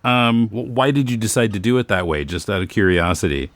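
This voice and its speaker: A man with a lowish voice hosts a casual interview. His pitch moves around in a lively way as he asks curious, slightly halting questions, recorded dry. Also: no echo. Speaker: podcast host, interviewer.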